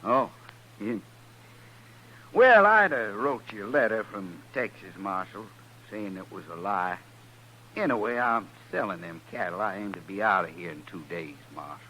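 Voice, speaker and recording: Ingratiating frontier cowhand, folksy drawl, low but bright forceful tone, old radio hiss. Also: male voice, lively intonation, moderate pace, poor audio. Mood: uneasy, ingratiating. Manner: folksy, drawling rural Western speech. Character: shifty, ingratiating male cowhand dodging the law. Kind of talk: 1950s radio western acting.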